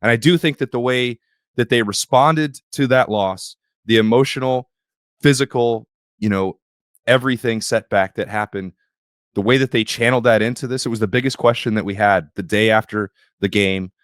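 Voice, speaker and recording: A man hosting a sports podcast, slightly hoarse, talking in a wry, opinionated way. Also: on the low side, moderate pace, fairly bright tone, no echo, studio quality. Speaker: podcast host.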